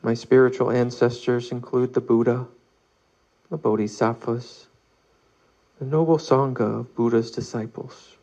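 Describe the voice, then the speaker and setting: Slow, gravelly man leading guided meditation. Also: mid-range voice, lo-fi sound. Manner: calm, measured, with long pauses. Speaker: meditation leader. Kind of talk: guided meditation, read aloud.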